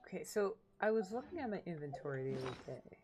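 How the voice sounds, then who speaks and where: Low-voiced woman streaming a game over music. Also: very animated, fast talker. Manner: informal, muttering, thinking aloud. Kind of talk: casual gameplay commentary.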